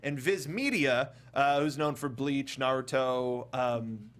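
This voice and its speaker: A man hosting a casual panel chat, rambling through animated asides in stop-start phrases. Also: medium pitch, takes it slowly, a little hiss or hum. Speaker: talk show host.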